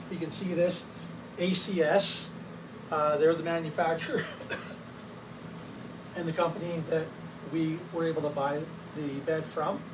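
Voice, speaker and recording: A hoarse man getting over a cold, chatting casually to camera about his clinic's equipment. His voice sits a little high, and he stops often on fillers and the odd cough. He sounds set back from the mic, with steady noise behind him. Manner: coughs between sentences, many fillers. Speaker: wellness clinic owner. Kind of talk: casual educational talk to camera. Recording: distant mic with clear background noise.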